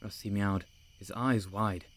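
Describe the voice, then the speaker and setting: Male narrator for an animal adventure audiobook, fairly low pitch, scripted storytelling read. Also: fairly even intonation, unhurried.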